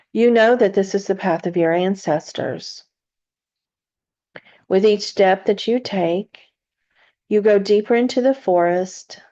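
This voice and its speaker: A woman leading a guided meditation in a soothing narration style, with gentle, deliberate phrasing and long pauses. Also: medium pitch, dull audio.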